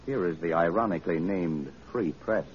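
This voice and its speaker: An actor in an old-time radio drama playing a worried, protective gentleman friend, speaking in an urgent, formal, emphatic way. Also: deep voice, normal speed, close to an everyday voice. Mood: alarmed. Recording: dull vintage radio recording, faint noise.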